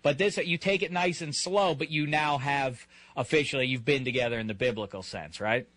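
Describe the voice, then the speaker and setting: A man's voice: medium pitch, normal speed, fairly bright tone, dry recording. A man in his thirties hosting a comedic radio show, teasing a caller in a mock-formal way with frequent stammered restarts. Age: 30s. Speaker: radio host.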